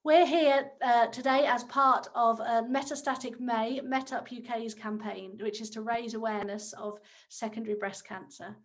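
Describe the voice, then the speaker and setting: A woman in her thirties from England, hosting a round table discussion in a friendly, measured way with some fillers. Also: fairly high pitch, fairly even intonation. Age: 30s. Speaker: discussion host.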